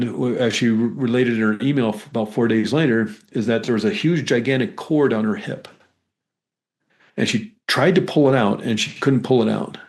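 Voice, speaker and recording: A man speaking as an interview guest, a hypnotherapist explaining his work in a rambling, conversational way with repeated words and halting phrases. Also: medium pitch, a little mellow.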